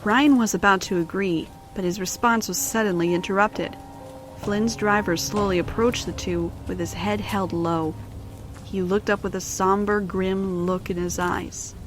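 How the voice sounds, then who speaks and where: A woman's voice: medium pitch, steady tone, a little slow, low-quality recording. Woman narrating a story over music. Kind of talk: scripted storybook narration of an audio drama.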